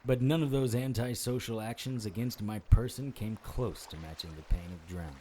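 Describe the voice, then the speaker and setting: An actor playing a wry, world-weary supernatural investigator, narrating a noir audio drama in clipped, deadpan phrases. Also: male voice, fairly low pitch, normal speed, slight background noise, lightly acted. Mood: grim, wry.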